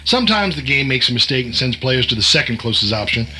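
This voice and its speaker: A man's voice: medium pitch, sing-song, quick pace, sharp tone, husky, heavily acted. A man playing a folksy, wisecracking secret agent posing as a night cook, a glib and sardonic explainer, in an audio drama. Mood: confident, folksy.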